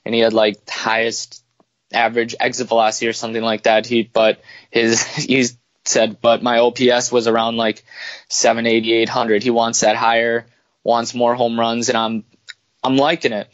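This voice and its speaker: Flat-toned man chatting about sports. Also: medium pitch, normal speed, no room sound, lo-fi sound. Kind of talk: casual sports chat.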